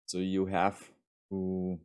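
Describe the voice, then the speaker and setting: A male university lecturer with an Austrian accent, giving a formal course introduction in a measured way with frequent ums and restarts. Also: low voice, completely flat delivery, darkish tone, clear, resonant tone.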